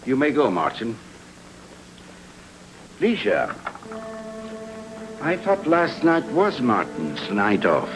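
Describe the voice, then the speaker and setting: Very animated, formal gentleman. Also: mid-range voice, takes it slowly, long pauses, over music. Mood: courteous, commanding. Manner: polite, formal. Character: courteous, authoritative male master of the house. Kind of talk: film noir radio drama acting. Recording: noisy old radio recording with clicks.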